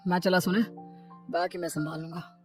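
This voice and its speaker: A woman's voice: fairly low pitch, little pitch movement, moderate pace, a little mellow, soft background music, voice pushed down, close to an everyday voice. A woman voicing an irritable, lazy husband in a cartoon family story, grumbling in exasperation. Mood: casual, instructing.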